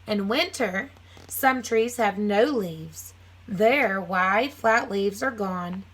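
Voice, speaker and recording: A woman's voice: mid-range voice, lively intonation, takes it slowly, a bit bright, rough recording. A woman teacher reading a children's nonfiction book aloud, phrasing it simply for young listeners with casual asides.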